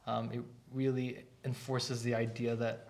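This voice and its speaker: A man's voice: medium pitch, little pitch movement, unhurried, vocal fry, a little mellow. A man, an art instructor, chatting casually on a live stream and explaining things at length with many restarts. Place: art academy studio.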